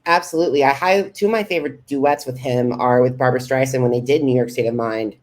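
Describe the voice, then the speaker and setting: A man hosting a podcast interview, asking prepared questions in a deliberate way. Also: medium pitch.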